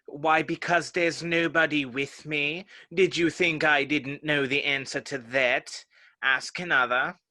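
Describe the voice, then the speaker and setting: A man's voice: on the high side, steady tone, unhurried, pushed and bright. A man playing a haughty, touchy, pedantic egg character in a dramatic reading of a children's classic. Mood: haughty, provoked.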